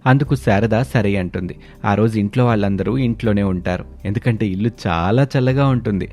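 A man narrating a cartoon moral story in a steady, plain storytelling manner. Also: fairly low pitch, a little fast.

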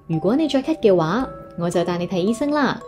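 Storybook mum, played by a woman, caring and instructive, lively intonation, Hong Kong Cantonese accent. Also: medium pitch, brisk, over music, lightly acted. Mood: concerned.

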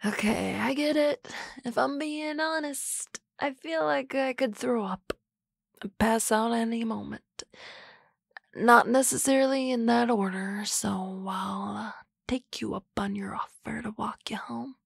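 A woman's voice: fairly high pitch, steady tone, a little slow, vocal fry, breathy edge, dry recording, studio quality, heavily acted. A woman voicing a drunk young female supervisor in an ASMR roleplay, rambling and often dropping to a whisper. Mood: tipsy. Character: tipsy young woman boss, flustered, shy crush. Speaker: voice actress.

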